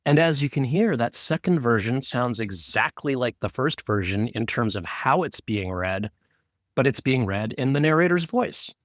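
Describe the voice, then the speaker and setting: A man's voice: medium pitch, lively intonation, normal speed, dry recording, studio quality. A man co-hosting a podcast in a conversational, explanatory style. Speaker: podcast co-host. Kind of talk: conversational production discussion.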